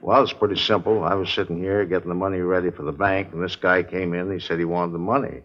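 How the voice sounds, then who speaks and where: A man's voice: deep voice, steady tone, fast, slight background noise, close to an everyday voice. An American man playing a talkative, slightly testy supermarket manager in a 1950s radio drama, rambling in a folksy, naturalistic way. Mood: resigned. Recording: muffled vintage radio recording.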